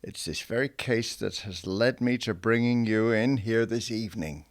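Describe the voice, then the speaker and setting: A man's voice: fairly low pitch, moderate pace, natural voice. An actor playing a sly western lawman with delusions of grandeur, falsely genial and menacing, in a radio drama. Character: sly, scheming Texas Ranger with delusions of grandeur.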